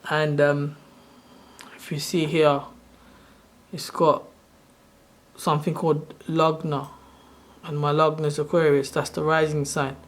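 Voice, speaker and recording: A soft-spoken man in his thirties, originally from Sri Lanka, casually walking through his own screen in a loose, rambling way. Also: a little high, flat delivery, a little slow, long pauses. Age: 30s. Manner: rambling, loose and self-correcting. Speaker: channel host. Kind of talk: casual screen-share walkthrough.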